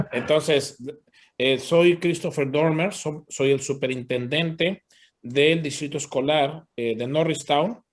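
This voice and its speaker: A man interpreting live at an online community meeting, switching briskly between Spanish and English and using fillers like "este" and "pues". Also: a little high. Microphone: video call.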